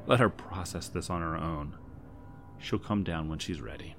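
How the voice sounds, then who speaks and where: A man voicing a soft-spoken middle-aged mother who pleads and apologizes gently. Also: sits low, moderate pace, a little hiss or hum. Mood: calm.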